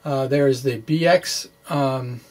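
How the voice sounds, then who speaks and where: Man talking through math, halting and informal. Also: medium pitch, a little mellow. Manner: halting, self-correcting, thinking aloud. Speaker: physics explainer and blogger. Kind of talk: informal math walkthrough, reading and ad-libbing.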